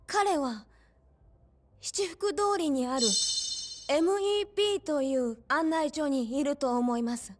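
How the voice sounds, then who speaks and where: Courteous young female informant, game drama: high register, apologetic, helpful manner. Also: slow pace, background noise. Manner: polite, explanatory. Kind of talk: Japanese video game cutscene acting.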